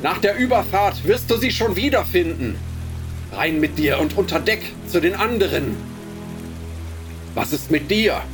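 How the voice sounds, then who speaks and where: A gruff, leering ship's officer in a radio play, barking orders in a raised, high-set voice. Rain and music sound behind him. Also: male voice, lively intonation, a little slow, pauses often. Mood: dismissive. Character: gruff male ship's officer herding children aboard. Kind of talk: radio drama acting.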